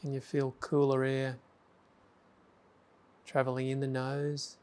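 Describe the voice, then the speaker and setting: A man leading a guided meditation, giving slow, gentle instructions with long pauses. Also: mid-range voice, monotone, clear, resonant tone.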